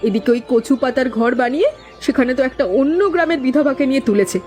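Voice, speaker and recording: A spiteful village housewife from a cartoon, acted by a woman. She talks fast in a high voice, scolding and accusing with a hot temper. Faint music plays behind her. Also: lively intonation. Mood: indignant gossip. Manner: sharp-tongued, scolding, accusing. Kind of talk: cartoon voice acting.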